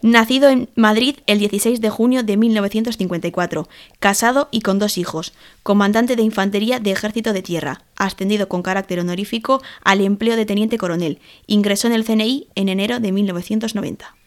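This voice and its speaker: Female reader, formal memorial read-out, steady and even. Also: mid-range voice, quick pace. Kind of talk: scripted reading.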